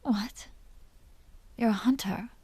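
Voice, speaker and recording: A woman playing a seductive, possessive vampire duchess in an intimate audio roleplay, teasing and genteel in a breathy whisper. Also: a little high, dark tone, dry recording, exaggerated voice. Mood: hungry, commanding. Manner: slow, teasing, genteel and commanding.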